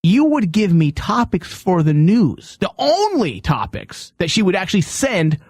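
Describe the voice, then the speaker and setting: A male talk host with a fairly high voice speaks in a casual, storytelling style. He is opinionated and swears offhandedly. The sound is close and dry. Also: huge pitch swings, a little slow, darkish tone, dry recording.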